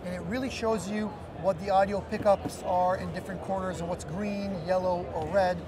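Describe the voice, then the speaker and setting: A man's voice: high voice, fairly even intonation, normal speed, a crowd in the background. A man in his thirties explaining a product as an executive being interviewed at a busy trade show booth. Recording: loud busy trade show floor noise.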